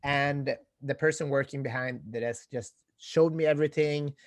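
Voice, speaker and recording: A man hosting a podcast interview, asking questions and telling stories in a halting way with repeated words. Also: mid-range voice, steady tone, no echo. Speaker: podcast host. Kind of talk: casual interview conversation.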